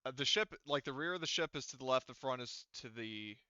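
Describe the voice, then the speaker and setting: A man's voice: medium pitch, lively intonation, brisk, pushed and bright, clear, resonant tone, dry recording, muffled recording. A man running a tabletop roleplaying game as game master, explaining things casually and thinking aloud as he goes.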